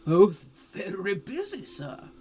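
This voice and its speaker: A woman voicing a polite, earnest gentleman in a storybook reading, formal and courteous in delivery. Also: mid-range voice, wildly swooping pitch, slow pace, husky, voice pushed up, heavily acted. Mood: regretful. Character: polite, earnest charity gentleman seeking donations.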